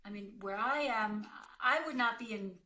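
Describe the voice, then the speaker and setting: A woman with a bright, forceful voice of average pitch talks as an acting coach in a question-and-answer conversation. She is direct and emphatic, laying out her advice plainly. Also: moderate pace. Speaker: acting career coach and working actor.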